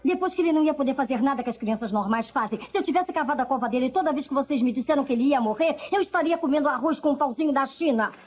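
A woman playing a fed-up, defiant, sharp-tongued mother with a Brazilian accent in a film dub. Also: high voice, animated, racing, hardly any pauses. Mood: exasperated. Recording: dull dubbed film soundtrack.

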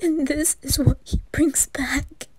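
Woman voicing a high, hesitant, lovestruck teenage boy. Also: fairly even intonation, slow. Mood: hurt, betrayed. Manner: breathy, hushed, hesitant delivery. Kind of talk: whispered ASMR roleplay acting.

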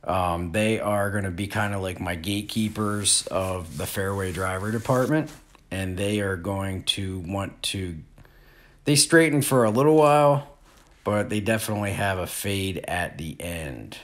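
A man in his forties giving a relaxed, plain-spoken disc golf lesson outdoors in an open field, recorded on a nearby camera. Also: sits low, a little slow. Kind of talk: outdoor instructional talk.

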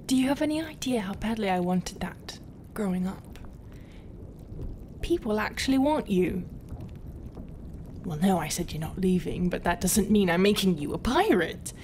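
A woman playing a proud, brooding, non-human pirate captain in a roleplay, guarded and often dropping into a half-whisper with hesitant pauses. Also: mid-range voice, a little mellow, slight background noise, lightly acted. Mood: exasperated. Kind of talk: roleplay ASMR acting.

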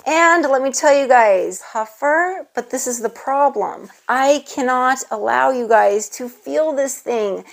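A woman's voice: high voice, unhurried, creaky, hardly any pauses. A woman in her thirties gushing to viewers as she unboxes handbags in a casual vlog, on a lapel mic indoors. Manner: gushing, enthusiastic, addresses viewers as "you guys". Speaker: luxury handbag vlogger. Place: indoor room with handbag shelves. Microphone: clip-on lapel mic.

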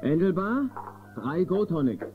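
An actor playing an easygoing, sociable card player in a children's radio play. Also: male voice, a little high, very animated, a little slow. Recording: muffled phone-like old cassette, music behind.

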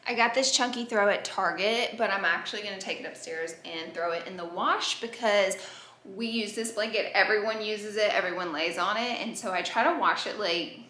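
A woman's voice: medium pitch, normal speed, bright, forceful tone. Bubbly woman in her 30s vlogging around her house, roomy echo, bit of noise behind. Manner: bubbly, chatty, talks straight to viewers. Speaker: lifestyle vlogger. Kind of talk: chatty clean-with-me vlog. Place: bright open-plan home, living room and kitchen. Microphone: camera mic, filmed at a distance while moving around. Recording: roomy echo from a large room.

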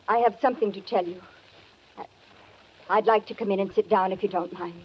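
An actress playing a jealous, scheming woman in 1940s radio drama, genteel and hesitant, with measured delivery. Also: medium pitch, fairly even intonation, a little hiss or hum, natural voice. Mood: hesitant, contrite. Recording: muffled 1940s radio recording.

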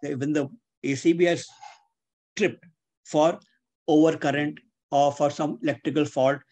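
An older man with a fairly high voice teaches a technical class over a video call. He is deliberate and didactic, going point by point through his slides and repeating or spelling out key terms. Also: steady tone, a little mellow. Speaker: engineering instructor. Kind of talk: webinar lecture explaining slides. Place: indoors, on a webcam video call.

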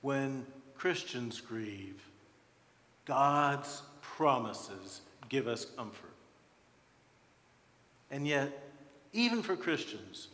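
A man in his sixties preaching a sermon as a pastor, with deliberate, emphatic phrasing and frequent pauses, in a church. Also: medium pitch. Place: large church sanctuary, at the pulpit. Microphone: headset microphone. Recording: reverberant church hall.

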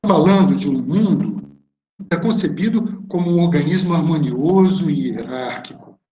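A man's voice: on the high side, darkish tone. A man with a Brazilian Portuguese accent gives an art history lecture over shared slides on a video call, first reading a prepared text, then explaining the slides with some hesitant fillers. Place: home room on a video call. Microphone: webcam or laptop mic on a video call. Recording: roomy video call audio.

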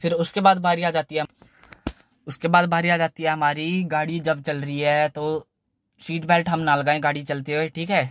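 A man's voice: fairly high pitch, little pitch movement, fairly bright tone, no echo. Young man with a lilt, chatting loosely. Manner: loose, repetitive, fills every pause with "theek hai". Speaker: car channel host. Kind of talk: casual how-to talk in a parked car. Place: inside a parked small car.

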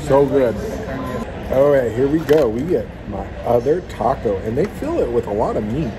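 A man's voice: mid-range voice, expressive, moderate pace. Man reviewing tacos on a phone. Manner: chatty, eating between lines with satisfied hums. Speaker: food vlogger. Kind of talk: casual food review vlog. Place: indoor restaurant dining room. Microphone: phone held at arm's length. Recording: phone audio with clear restaurant noise. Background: restaurant noise.

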